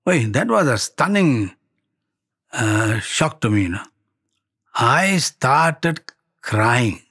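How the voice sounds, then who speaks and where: A man's voice: mid-range voice, slow, breathy edge. An older man in his seventies with a Malaysian accent, telling personal stories conversationally, acting out dialogue and checking in with the listener. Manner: animated retelling with quoted dialogue and tag questions. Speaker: devotee giving a spiritual testimony. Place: indoor studio set, seated before wood-panelled wall.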